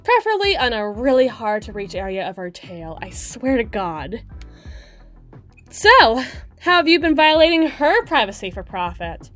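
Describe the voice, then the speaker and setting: A woman's voice: on the high side, very animated, normal speed, a bit bright, soft background music. A woman playing a bitter, snarky demon club DJ in an ASMR roleplay audio drama, with a biting, exasperated, foul-mouthed delivery. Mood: scornful, needling. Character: snarky, crass, fast-talking female demon club DJ, bitter and defensive.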